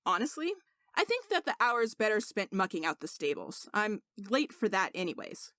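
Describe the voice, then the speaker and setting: A woman's voice: mid-range voice, sing-song, normal speed, bright, forceful tone, dry recording, clean studio sound. A woman voicing an indignant young male servant who is secretly a sorcerer, speaking earnestly and insistently in a story reading. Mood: wry defiance.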